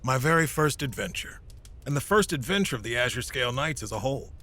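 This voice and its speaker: A man playing a knight in an audio drama, with a husky voice. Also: medium pitch, animated, fast, bright, forceful tone. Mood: reflective. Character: older veteran knight telling stories, also his eager younger self. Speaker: lead character actor.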